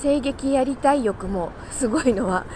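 A woman chatting casually on her own like a morning podcast host, talkative and quick to laugh. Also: high voice, darkish tone, slight background noise. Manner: chatty, laughs easily while talking. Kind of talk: casual solo morning chat.

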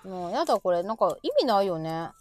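A woman's voice: medium pitch, animated, fast. A woman with a Kansai accent chatting casually as co-host, bantering and poking fun at herself. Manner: bantering, self-deprecating. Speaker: co-host of a chat show. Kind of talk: casual chat. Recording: music playing behind the voice. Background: music.

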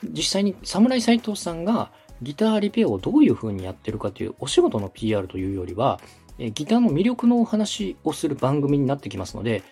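A man's voice: a little high, sing-song, fast talker, gravelly, dark tone, husky, faint music behind. A man from Osaka giving polite, methodical advice as a podcast host and radio director. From Osaka, Japan. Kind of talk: explanatory consulting talk.

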